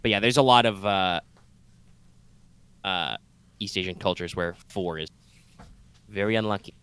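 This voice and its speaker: A man's voice: deep voice, measured pace, a bit bright, smooth, no room sound. A man co-hosting a live gaming stream, chatting loosely with lots of "like" and "uh" and the odd swear word. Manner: rambling explainer with frequent "like" and "uh", casual swearing. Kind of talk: casual live-stream chat over gameplay.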